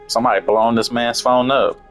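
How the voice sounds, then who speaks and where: A man's voice: mid-range voice, little pitch movement, a little fast, fairly bright tone. A man giving casual, slangy game commentary as a streamer, irreverent and profane. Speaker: gameplay streamer. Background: faint game music.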